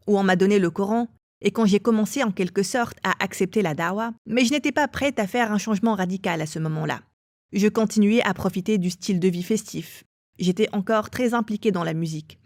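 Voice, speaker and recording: A woman telling her own story as an interviewee, in a calm and measured way. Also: mid-range voice, no room sound, studio quality. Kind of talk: personal testimony.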